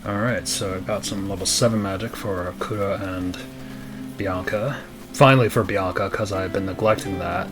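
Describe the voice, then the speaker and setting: Low-voiced man narrating gameplay. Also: moderate pace. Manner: matter-of-fact, narrates his moves. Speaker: let's play host playing the game. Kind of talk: casual gameplay commentary. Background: game music.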